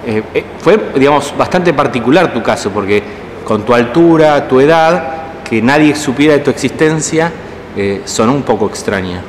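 An Argentine man with a Rioplatense accent and a mid-range voice asks short, brisk interview questions. There's a long reverb and a faint hiss behind him. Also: medium pitch, lively intonation. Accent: Rioplatense Spanish. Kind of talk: journalist interview.

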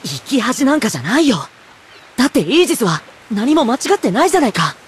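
Animated, earnest teenage boy. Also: fairly high pitch, quick pace, a little hiss or hum. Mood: earnest reassurance. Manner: earnest, wide-eyed, questioning. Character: earnest, kind, boyish teenage hero. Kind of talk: Japanese anime game voice acting.

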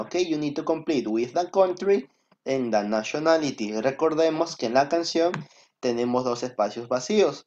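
A man's voice: mid-range voice, darkish tone. A Peruvian man in his twenties, a native Spanish speaker, teaching schoolchildren in a recorded lesson with encouraging, simply repeated instructions. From Peru. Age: 20s. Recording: dull, lo-fi screen-recording audio.

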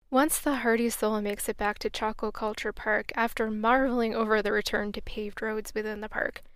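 Podcast lady narrating her road trip, wry and earnest, gravelly fry, super dry mic. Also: mid-range voice, dry recording. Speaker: podcast host. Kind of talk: scripted travel narration.

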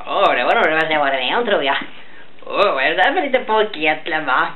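A man voicing a playful, fatherly cartoon bear, his voice squeaky from helium. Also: expressive, narrow, tinny audio. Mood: blustering, teasing. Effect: helium-altered squeaky voice. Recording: faint background noise, amateur camera.

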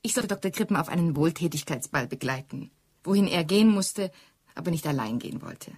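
Poised, low-voiced young secretary. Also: female voice, expressive, a little fast, a little mellow, breathy edge. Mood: composed, lightly charming. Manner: poised, formal, evasive. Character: self-assured, well-mannered young secretary, woman of twenty-one. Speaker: actress in a radio play. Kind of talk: German radio drama dialogue.

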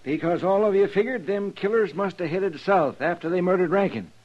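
An actor playing a fussy, talkative frontier railroad depot clerk in a 1950s radio drama. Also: male voice, sits high, a little fast. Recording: dull vintage radio transcription.

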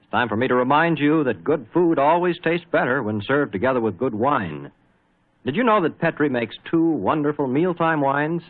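A man announces in scripted 1940s radio style with an American accent. His pitch is middling. The old recording is thin and narrow, like a telephone. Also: lively intonation, normal speed.

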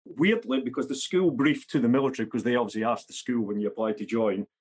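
A man's voice: medium pitch, fast, darkish tone, slightly rough. A Scottish man in his fifties, a podcast guest talking bluntly and matter-of-factly. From Scotland. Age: 50s. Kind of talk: podcast interview.

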